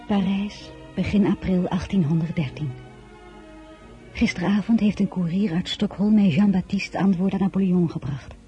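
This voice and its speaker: An actress playing an elegant, composed woman of high rank in a radio drama, narrating and speaking in a measured, intimate way. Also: mellow, lo-fi sound, soft background music, natural voice. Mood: reflective. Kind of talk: radio play acting with first-person narration.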